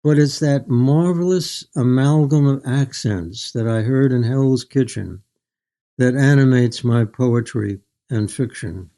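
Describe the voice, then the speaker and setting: An older man talks as an author being interviewed about his writing. His voice is soft-edged and mellow, sitting at an average pitch. He is measured and articulate, choosing his words with thought. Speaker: guest author. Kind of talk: reflective literary interview.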